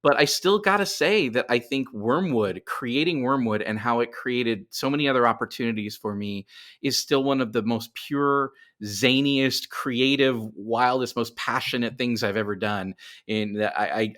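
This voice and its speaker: A man's voice: mid-range voice, normal speed, fairly bright tone. A middle-aged man talking casually as a writer being interviewed, animatedly explaining things and often repeating words as he goes. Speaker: writer and podcast guest.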